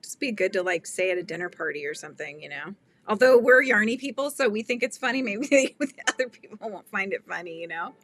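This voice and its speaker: A woman's voice: mid-range voice, animated, a little fast, fairly bright tone, dry recording. A middle-aged woman hosting a casual crochet livestream, chatting and laughing as she reads viewer comments. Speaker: livestream host. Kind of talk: casual chat while crocheting.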